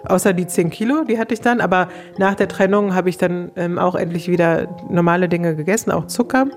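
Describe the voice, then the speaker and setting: Woman telling her own story, casual and blunt, lowish voice, soft music underneath. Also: brisk. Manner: colloquial, frank, quoting her own thoughts.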